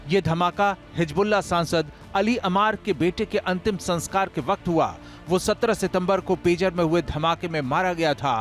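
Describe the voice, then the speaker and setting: A man's voice: high-pitched, normal speed, hardly any pauses, soft background music. A man reading a scripted news report voice-over in an emphatic, dramatic way.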